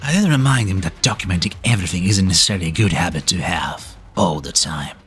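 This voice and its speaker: A very low-voiced, ancient immortal man, played by a male voice actor, weary and cryptic. His breathy, reflective delivery moves widely in pitch, with the wry air of a game drama. Music plays behind him. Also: expressive, quick pace, fairly bright tone. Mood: wry, calm. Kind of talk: fan-dub game drama acting. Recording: background music under the voice.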